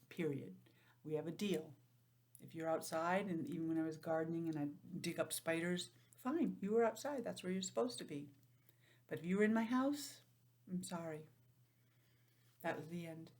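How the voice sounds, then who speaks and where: A woman teacher reading a picture book aloud to young children, stopping for simple, chatty explanations in an animated manner. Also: fairly low pitch, moderate pace. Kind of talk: storybook read-aloud with chatty asides.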